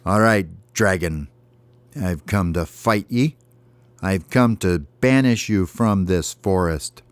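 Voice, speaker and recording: A man's voice: low-pitched, slow pace, smooth, no echo. A man voicing a brave, cocky baby bird knight in a children's story, with mock-heroic declarations. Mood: righteous, defiant.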